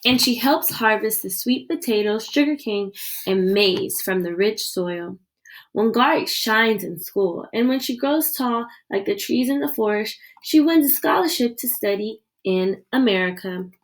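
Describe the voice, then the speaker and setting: A woman with a slightly high voice reads a picture book aloud. Her delivery is expressive storytelling, shaped for young listeners. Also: a little slow, poor audio. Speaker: read-aloud reader.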